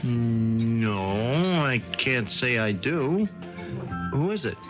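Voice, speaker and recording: A man's voice: mid-range voice, lively intonation, extremely slow. An actor in a 1970s radio drama playing an evasive, testy man with something to hide, stammering defensively. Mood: irritated, evasive. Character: evasive, testy man hiding his identity. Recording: dull 1970s radio broadcast, faint music.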